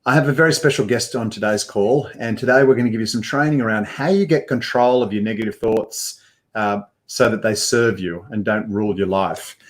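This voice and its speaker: Older guy hosting a live call, chatty presenter tone, headphones on, kinda low voice. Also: fairly quick. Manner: upbeat presenter, frequent fillers. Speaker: host. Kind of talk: live video call interview. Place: indoor studio with banner backdrop. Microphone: headphones with mic, webcam framing.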